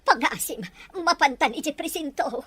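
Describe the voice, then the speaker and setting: A woman's voice: high-pitched, moderate pace, fairly bright tone. A woman playing an anxious village mother, pleading and lamenting in an audio drama. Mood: worried. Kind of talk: melodramatic audio drama dialogue.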